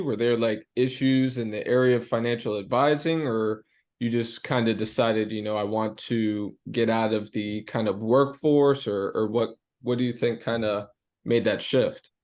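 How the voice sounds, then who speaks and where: A man's voice: mid-range voice, darkish tone. Young guy hosting a podcast, kinda eager, trips over his words a lot. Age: young adult. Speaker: podcast host. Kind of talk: casual interview.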